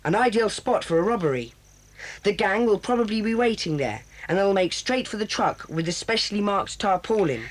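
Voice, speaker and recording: A man's voice: high voice, expressive, normal speed. An actor playing an earnest boy who leads a children's club in a radio drama, laying out plans with confidence. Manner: earnest, planning, in charge.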